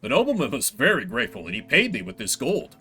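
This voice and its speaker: A man's voice: mid-range voice, huge pitch swings, fast talker, pushed and bright, slightly rough, pitched below the performer's own voice, exaggerated voice. A man voicing a loyal general in a video game, reporting to the king in a formal, matter-of-fact way. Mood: dutiful.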